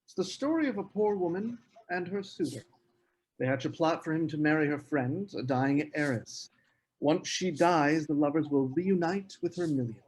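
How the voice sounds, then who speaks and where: A man playing a brooding, bitter novelist in a screenplay table read, with measured, deliberate phrasing. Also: a little high, lively intonation, a little mellow, husky, poor audio. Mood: cool, deliberate. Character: brooding male novelist, cool and bitter in marriage.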